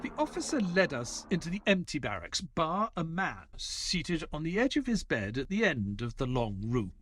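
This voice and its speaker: A man's voice: fairly high pitch, sing-song, normal speed, a bit bright, husky, full character voice. An actor playing a reserved former army man who is companion to a detective and narrator of an audio play. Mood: reflective.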